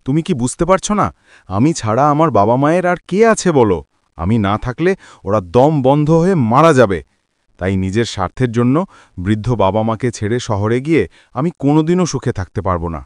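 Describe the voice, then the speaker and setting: A man's voice: mid-range voice, sing-song, a little fast, dry recording, studio quality, lightly acted. A man voicing an earnest, devoted young husband and son in a cartoon drama, pleading with emphasis. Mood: firm, pained.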